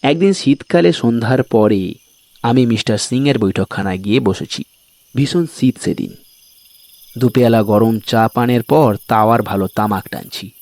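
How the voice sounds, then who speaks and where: A man's voice: medium pitch, lively intonation, moderate pace, a little mellow, no echo. A man hosting and reading an audio story in a scripted narration style. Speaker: story reader and host. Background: music.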